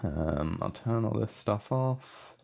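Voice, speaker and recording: A man giving a casual, unscripted software walkthrough as a tutorial presenter, low-key and hesitant in manner. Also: expressive, takes it slowly, gravelly, a little hiss or hum. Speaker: music production tutorial presenter.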